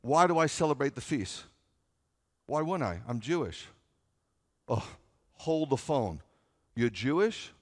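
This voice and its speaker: A man's voice: medium pitch, big pitch swings, measured pace, pauses often. A middle-aged American man preaching as a rabbi, conversational and wry, leaning on repetition and rhetorical questions for emphasis. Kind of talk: sermon. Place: large sanctuary, at a pulpit before seated listeners. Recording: reverberant hall sound.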